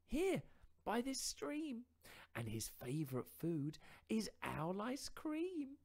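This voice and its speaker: A man's voice: high voice, huge pitch swings, takes it slowly, darkish tone, voice pushed up, full character voice. A man voicing a small, clever, boastful storybook mouse in a chirpy, sing-song manner.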